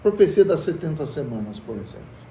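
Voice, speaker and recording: A man's voice: a little high, big pitch swings, a little fast, mellow. A man with a Brazilian Portuguese accent leading a Bible study, talking in a conversational way, quizzing the group with questions and calling them brothers. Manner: calls the listeners brothers. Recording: echoey room, from far off, faint hiss.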